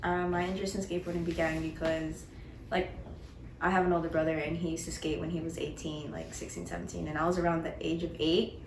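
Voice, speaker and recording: A woman's voice: deep voice, fast talker. An eighteen-year-old woman talking casually about skating, with lots of "like" fillers. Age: young adult. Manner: relaxed, many "like" fillers. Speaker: skater. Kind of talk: casual interview answers. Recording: echoey room with background noise.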